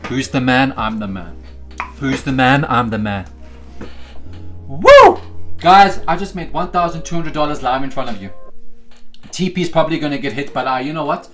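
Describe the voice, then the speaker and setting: Young man, South African accent, live-stream host, hype bursts, low mumbled jokes, faint music behind. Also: expressive, moderate pace, long pauses. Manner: hypes himself and the chat, then drops into low joking asides. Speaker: day-trading livestream host. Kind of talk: casual live-stream chat.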